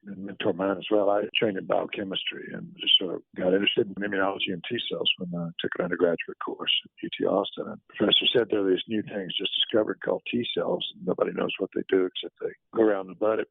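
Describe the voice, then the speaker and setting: A man's voice: sits low, creaky, breathy edge, no room sound. An older man from Texas telling stories in an interview, folksy and rambling, with many fillers and restarts, heard over a tinny phone-like line. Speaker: guest scientist. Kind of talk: casual storytelling in an interview.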